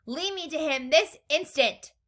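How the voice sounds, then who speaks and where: A woman's voice: high voice, expressive, a little slow, a bit bright, pitched above the performer's own voice. A woman voicing a frantic, bossy mother in a storybook, screaming and snapping indignantly. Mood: furious, indignant.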